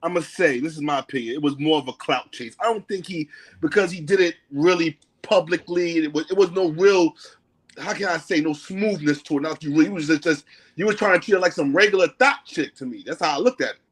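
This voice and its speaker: A man's voice: on the high side, brisk. A man in casual talk-show chat, telling stories in loose street slang and acting out quoted lines. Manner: animated, slangy storytelling with acted-out quotes. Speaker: podcast host.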